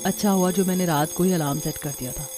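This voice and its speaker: Woman playing a mellow-voiced chatty young bride. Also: lowish voice, fast, soft background music, close to an everyday voice. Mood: grumbling. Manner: mixes English phrases into speech. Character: chatty young newlywed bride, polite but privately grumbling. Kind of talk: cartoon family drama voice acting.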